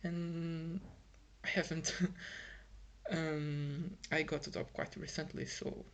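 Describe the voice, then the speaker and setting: A man's voice: fairly high pitch, little pitch movement, slow pace, fairly bright tone. A young Brazilian man whose first language is Portuguese, speaking English with a Brazilian accent in a frustrated, rambling vlog full of fillers and restarts. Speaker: vlogger. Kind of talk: casual vlog monologue. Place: home in Ireland.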